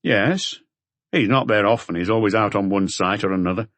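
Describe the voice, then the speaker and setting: A man's voice: lowish voice, normal speed, a bit bright, no echo, close to an everyday voice. A man voicing a short, grumpy accountant in an audiobook, curt and guarded in manner. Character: short grumpy male accountant, defensive but talkative.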